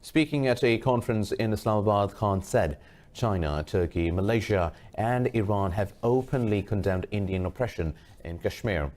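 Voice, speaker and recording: A man reading a scripted news bulletin as anchor, formal and measured. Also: on the low side, darkish tone.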